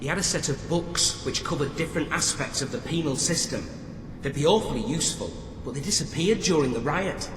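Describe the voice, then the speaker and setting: An actor playing a dutiful, eager, slightly awkward prison guard in a video game, deferential and earnest. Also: male voice, on the high side, fairly quick, natural voice. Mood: unsure, sheepish. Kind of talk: video game character acting. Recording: echoey hall with noisy ambience.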